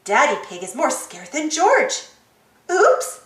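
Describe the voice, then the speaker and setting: A woman reading a children's storybook aloud as an expressive narrator and host. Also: high voice, slow pace, reverberant, recorded from a distance. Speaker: storybook reader, channel host.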